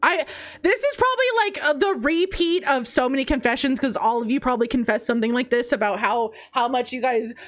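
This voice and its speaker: A woman streamer chatting casually with her chat, teasing and laughing often, with a congested nose. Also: fairly quick. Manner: playful, teasing, laughs often, swings between high squeals and low mutters. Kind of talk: casual live-stream chat reading confessions.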